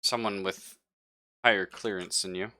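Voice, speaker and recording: A man's voice: low-pitched, steady tone, moderate pace, bright, forceful tone. A man voicing a dutiful but evasive female android crew member in a tabletop game, speaking formally in clipped, detached phrases.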